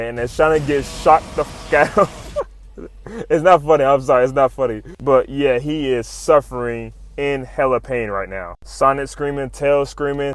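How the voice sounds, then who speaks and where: A man giving an excited, slangy, profane comic recap as a video host. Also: mid-range voice. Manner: slangy, profane, informal, exclamatory.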